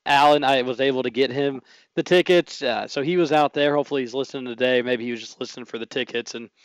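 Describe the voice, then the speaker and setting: A man hosting a radio sports talk show, his voice a little hoarse, talking in an energetic, chatty way. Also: mid-range voice, fast talker, dry recording, rough recording.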